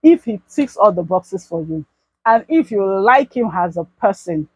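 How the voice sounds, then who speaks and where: A woman's voice: mid-range voice, animated, a little slow, darkish tone, slightly rough, dry recording, low-quality recording. A young Nigerian woman talking casually to her viewers in an advice vlog. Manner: chatty, addresses viewers as bestie. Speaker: YouTube vlogger.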